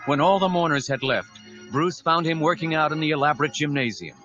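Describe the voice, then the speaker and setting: A man's voice: fairly high pitch, a little fast, pushed and bright. A man narrating an audio drama in a dramatic scripted style. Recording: dull lo-fi audio drama, music behind.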